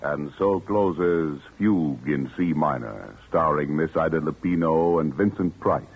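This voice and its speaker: A man announcing a radio drama show in a solemn, measured 1940s style. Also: very deep voice, expressive, breathy edge. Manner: slow, solemn, measured delivery. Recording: tinny 1940s radio broadcast with loud music.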